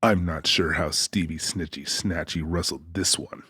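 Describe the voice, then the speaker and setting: Man acting a very deep, husky, menacing avenger. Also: steady tone, a little slow, sharp tone, no echo, clean studio sound, exaggerated voice. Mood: taunting. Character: menacing male avenger, mock-polite crime boss. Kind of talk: audiobook character acting.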